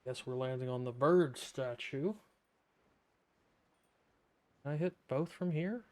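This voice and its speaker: A man giving casual, sarcastic live commentary as he plays a game, with a deadpan manner. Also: medium pitch, moderate pace. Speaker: game streamer. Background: game music.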